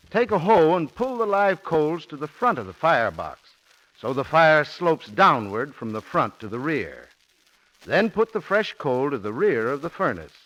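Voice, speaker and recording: Old radio ad guy explaining stuff folksy, highish voice, lo-fi muffled sound. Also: a bit bright. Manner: instructional, folksy. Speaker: sponsor's home heating spokesman. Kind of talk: scripted 1940s radio advertising talk. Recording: dull, muffled 1940s radio recording.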